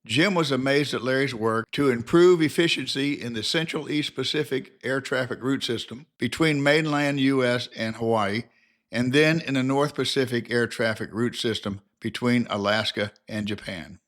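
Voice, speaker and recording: A man's voice: medium pitch, normal speed, a bit bright. An older man narrating a scripted biographical documentary in a formal, careful reading manner.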